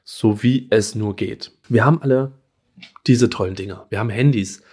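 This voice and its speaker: A man's voice: lowish voice, moderate pace, darkish tone, slightly rough. Earnest man giving advice to camera. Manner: earnest, conversational, gestures while explaining. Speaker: gynecologist giving advice to parents. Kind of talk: direct-to-camera advice video. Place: small home room indoors.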